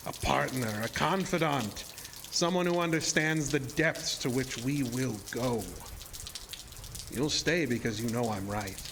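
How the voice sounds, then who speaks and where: A man's voice: mid-range voice, a little slow, vocal fry, background noise. A man playing a sinister, seductive illusionist showman in a horror audio drama, speaking in a theatrical, formal and insinuating way. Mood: quiet menace. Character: sinister, seductive showman-illusionist, deceptively ageless male villain.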